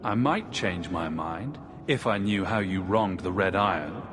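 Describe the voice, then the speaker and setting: Young mage hero in a game, lowish voice, calm and direct, music under it. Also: male voice, measured pace. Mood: firm, calm. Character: composed young male mage refugee hero. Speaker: video game protagonist. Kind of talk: video game voice acting. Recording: loud music behind.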